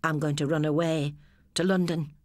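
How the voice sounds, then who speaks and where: A woman's voice: deep voice, steady tone, moderate pace, a little mellow, no echo, natural voice. A woman voicing a moody, sulky runaway schoolboy in an audiobook story. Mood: secretive. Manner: sulky, defensive.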